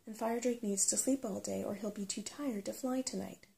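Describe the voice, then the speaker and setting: Woman reading as a bossy, creaky-voiced little brownie. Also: mid-range voice, fairly even intonation, mellow, slight background noise, lightly acted. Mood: grumbling, brisk. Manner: brisk, sceptical, blunt. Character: bossy, impatient female brownie with paws and claws. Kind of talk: storybook character reading.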